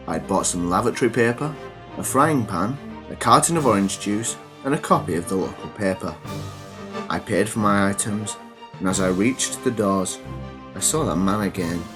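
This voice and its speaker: A man's voice: sits low, moderate pace, over music. Teenage boy with a stuffy cold. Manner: rambling, hesitant with ums, dry deadpan. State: congested from a cold. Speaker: podcast host. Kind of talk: comedy storytelling and casual chat.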